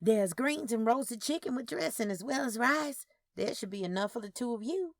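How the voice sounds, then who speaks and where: A woman plays a practical, caring Southern niece in audio fiction. The voice is lifted above narration pitch, with lively, solicitous, down-to-earth delivery and a close, dry sound. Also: moderate pace, no room sound. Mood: practical, reassuring. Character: caring, practical Southern woman fussing over elderly aunts.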